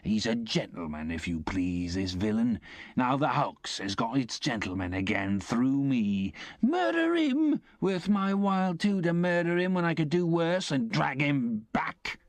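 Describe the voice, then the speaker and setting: A man's voice: medium pitch, animated, no room sound, voice pushed up, lightly acted. A man voicing a vengeful escaped convict, fierce and disdainful, with speech. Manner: fierce, disdainful, drawn-out raised delivery.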